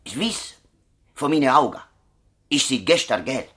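Casting: affable Swiss dog breeder, a bewildered patient in a radio play, halting speech with very lively intonation. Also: male voice, medium pitch. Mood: hesitant, complaining. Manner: hesitant, homely, expressive. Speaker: actor. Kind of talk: naturalistic radio drama acting.

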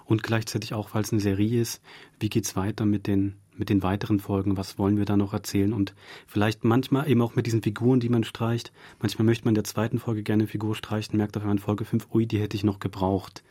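A man with a lowish, rough-edged voice talks as an interview guest. He explains things conversationally, thinking aloud in long winding sentences. The recording is dry and close. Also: level tone, quick pace, warm tone, no echo. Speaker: radio drama director, interview guest. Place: dry radio studio.

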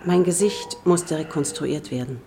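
An actress playing a gentle, encouraging female physiotherapist in an audio drama. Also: deep voice, measured pace. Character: reassuring female physiotherapist with a rebuilt face. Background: music.

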